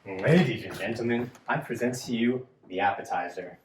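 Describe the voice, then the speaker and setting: A man's voice: medium pitch, moderate pace, natural voice. A man playing a pompous wedding caterer who announces his dish grandly. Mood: proud. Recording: echoey room, faint noise.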